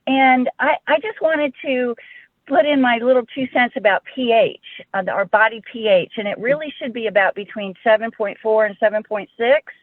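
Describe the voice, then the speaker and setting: A woman calling in to a live webinar, chatting warmly and laughing often. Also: on the high side, moderate pace, dry recording. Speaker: caller. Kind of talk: casual call-in chat. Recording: dry tinny phone line.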